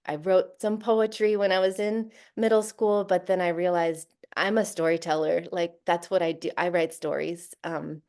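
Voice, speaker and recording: A woman's voice: fairly low pitch, fairly even intonation, moderate pace, few pauses, a little mellow. A woman author from Florida talking in an interview, thoughtful, with many fillers and light laughs. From Central Florida, USA. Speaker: author and guest. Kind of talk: casual interview talk.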